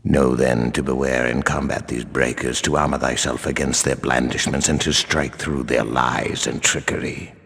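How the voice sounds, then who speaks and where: Solemn game priest, extremely low and raspy, archaic sermonizing, in a long cavernous reverb. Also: male voice, steady tone, normal speed, few pauses, fairly bright tone, full character voice. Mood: solemn preaching. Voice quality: very deep, gravelly, raspy. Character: grim male priest of a stern religious order.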